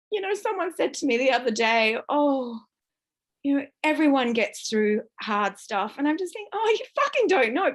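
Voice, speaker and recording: Croaky woman, 50s, rather high voice, raw and distressed, vents with swearing. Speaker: book club member. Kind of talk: emotional personal sharing.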